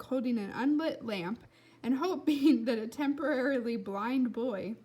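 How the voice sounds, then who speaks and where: A woman's voice: fairly high pitch, a little mellow. A woman reading a children's story aloud expressively and putting questions to listeners as a teacher.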